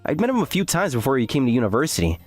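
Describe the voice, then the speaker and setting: The hapless, aggrieved student hero narrating an animated campus tale, acted by a man, voice rising and falling over quiet music. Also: medium pitch, rapid-fire. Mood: regretful. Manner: aggrieved, self-deprecating. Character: earnest, hapless male graduate student telling his story. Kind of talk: first-person scripted narration with acted dialogue.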